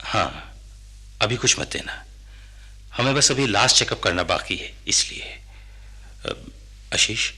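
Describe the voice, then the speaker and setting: An actor playing a middle-aged, paternal hospital doctor in a radio drama, instructive and slightly patronising. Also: male voice, on the low side, lively intonation, takes it slowly, long pauses, pushed and bright, breathy edge, exaggerated voice. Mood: brisk, familiar.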